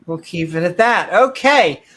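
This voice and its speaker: A woman co-hosting a casual livestream chat. She tosses out quick jokes and one-liners in a relaxed, offhand delivery. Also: fairly low pitch. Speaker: co-host.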